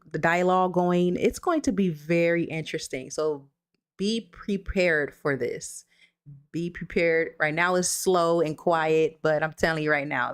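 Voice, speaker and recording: A woman with a somewhat low speaking voice hosts a relaxed livestream. She talks loosely and reassuringly to her viewers. She reads their comments aloud into her computer mic. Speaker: livestream host. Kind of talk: casual livestream chat. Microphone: streaming setup with computer mic.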